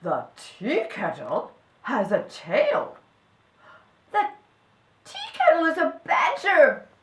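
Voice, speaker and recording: A woman voicing eager, excitable temple helpers in a storybook, exclaiming loudly and urgently. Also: on the low side, slow, reverberant, recorded from a distance, voice pushed down, exaggerated voice. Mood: amazed. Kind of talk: storybook character voice.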